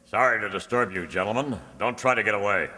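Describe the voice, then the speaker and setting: A man playing a confident, heroic superhero in a radio drama, calm, commanding and thinking aloud. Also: fairly low pitch, fast. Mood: coolly commanding. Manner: self-assured, narrating own actions aloud. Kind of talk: old-time radio drama acting. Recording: reverberant recording with clear background noise.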